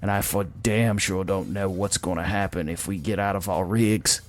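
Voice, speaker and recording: A man's voice: low-pitched, fairly even intonation, normal speed, no room sound, lightly acted. A cocky male mech pilot, played by a man narrator in a sci-fi audio story. He is blunt and easygoing, speaking plainly to his crew. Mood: resolute. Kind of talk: audio story character dialogue.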